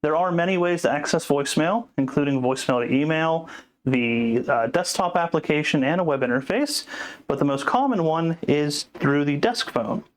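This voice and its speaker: A man with a slightly high-pitched voice leads an informal tutorial. He explains each step plainly, like a support presenter walking someone through instructions. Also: animated, normal speed.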